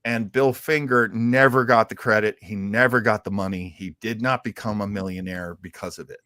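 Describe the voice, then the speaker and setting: A man hosting a show, explaining in casual talk with a halting, thinking-aloud delivery. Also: on the low side, fairly even intonation, bright, forceful tone, no room sound, clean studio sound. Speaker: show host. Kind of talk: casual explanatory talk about old radio heroes.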